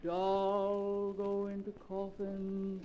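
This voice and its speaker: Servant woman who works curses, slow incantatory broken English, ominous and defiant, noisy old radio drama recording. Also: mid-range voice, monotone, dark tone, dull audio. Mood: ominous chanting. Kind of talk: 1940s radio melodrama acting.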